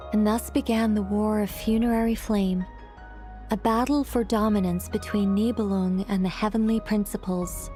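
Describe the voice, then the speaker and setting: Actress as a mellow, gentle female sage. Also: medium pitch, natural voice. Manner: measured, articulate, storytelling. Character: gentle, learned female sage recounting ancient lore. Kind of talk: scripted video game narration.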